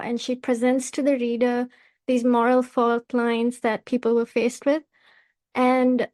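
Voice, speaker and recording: A woman hosting a literary podcast, asking prepared questions in a measured, earnest manner. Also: on the high side, darkish tone, dry recording. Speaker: podcast host, interviewer.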